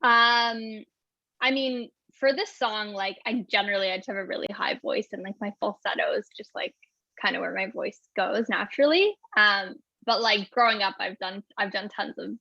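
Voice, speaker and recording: A woman in her twenties talks breezily about her music, bubbly and quick to laugh, filling every pause with "like." Her voice comes through muffled and lo-fi, with a dead dry sound like a video call. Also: mid-range voice, gravelly, sharp tone, no echo. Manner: bubbly, says "like" constantly, laughs often. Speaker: singer-songwriter guest. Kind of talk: casual interview chat.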